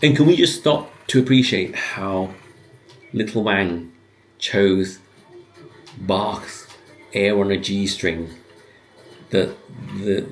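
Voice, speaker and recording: A man in his fifties, born in Hong Kong and raised in the West, giving reflective, unscripted commentary in a halting, musing way with pauses mid-sentence. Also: on the low side. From born in Hong Kong, grew up in the West, living in the UK. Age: 50s. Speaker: video host giving commentary.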